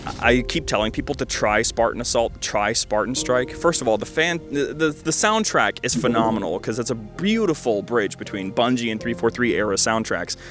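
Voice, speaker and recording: A man's voice: medium pitch, animated, fairly quick, fairly bright tone, soft background music. A man giving casual, enthusiastic video game commentary as a host, stressing points by repeating words.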